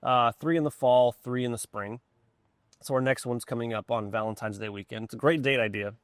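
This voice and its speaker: A man's voice: medium pitch, fairly even intonation, a little fast, clean tone, no echo, studio quality. A man from Poland speaking as an interview guest in a casual, enthusiastic and informal way about his work as a music director.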